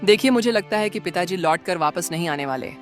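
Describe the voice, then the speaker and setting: A woman's voice: on the low side, lively intonation, brisk, soft background music, natural voice. A woman playing a calculating elder daughter-in-law in a cartoon moral story.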